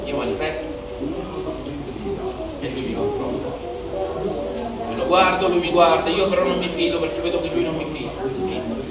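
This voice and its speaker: An actor playing an earnest anti-globalisation protester in a declamatory, rhetorical stage monologue. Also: male voice, fairly high pitch, normal speed, long pauses, lightly acted. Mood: wary, subdued. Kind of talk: theatrical political monologue. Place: theatre stage. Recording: echoey, distant stage capture under loud music.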